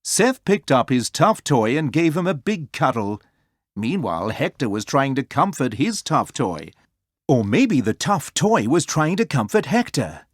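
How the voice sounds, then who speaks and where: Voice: mid-range voice, sing-song, unhurried, no echo, studio quality. A storyteller reading a children's story aloud as scripted narration.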